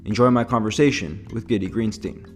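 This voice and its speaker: A man's voice: fairly low pitch, fairly even intonation, a little fast, over loud music. A man hosting a podcast, reading a scripted intro, then asking friendly, upbeat questions. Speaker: podcast host.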